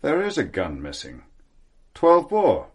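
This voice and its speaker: An actor playing a pompous, defensive titled country gentleman who blusters haughtily in a radio drama. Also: fairly high pitch, huge pitch swings, unhurried. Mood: uneasy.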